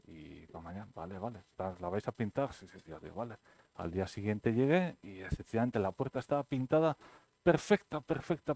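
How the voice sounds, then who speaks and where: A middle-aged man from Spain, the author, telling anecdotes at his book presentation and acting out the dialogue. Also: fairly low pitch. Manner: lively storyteller, acts out quoted dialogue.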